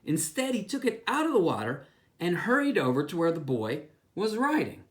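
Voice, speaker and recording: A man's voice: high-pitched, normal speed. A pastor reading a children's book aloud, telling it expressively and asking his young listeners questions. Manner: expressive storytelling with asides to listeners.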